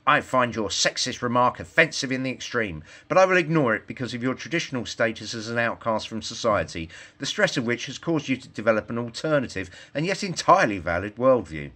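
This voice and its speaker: A man's voice: mid-range voice, big pitch swings, fairly quick, few pauses, bright, forceful tone. A man voicing an earnest, self-assured little girl in a storybook, lecturing in formal, indignant phrases. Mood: prim, indignant.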